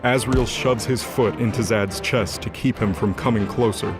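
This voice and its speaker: A man's voice: fairly low pitch, fairly even intonation, normal speed. A man narrating an audio drama in a dramatic scripted style, with music behind him.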